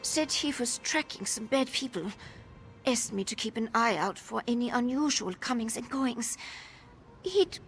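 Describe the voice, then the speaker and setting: A woman's voice: fairly high pitch, lively intonation, unhurried, a bit bright, soft background music. A woman playing a wary, downtrodden young Nord informant in a video game, telling things in a guarded, world-weary way. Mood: guarded, wistful. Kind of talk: video game dialogue acting.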